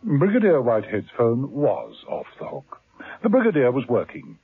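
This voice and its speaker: Male narrator for a vintage radio play; measured, wry, lo-fi. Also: medium pitch, huge pitch swings, slightly rough. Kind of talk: scripted radio drama narration. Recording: tinny lo-fi old radio broadcast.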